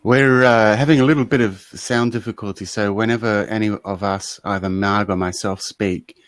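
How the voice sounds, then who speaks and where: A man's voice: on the low side, steady tone, moderate pace, dry recording. An Australian man co-hosting a casual online chat. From South Australia. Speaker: co-host.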